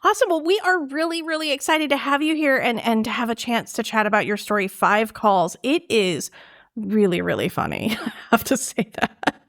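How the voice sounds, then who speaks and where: A woman hosts a storytelling podcast in a fairly high voice. She is effusive and friendly, with a very lively rise and fall in her speech. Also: normal speed. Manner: enthusiastic, effusive. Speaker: podcast host. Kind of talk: scripted introduction and friendly interview.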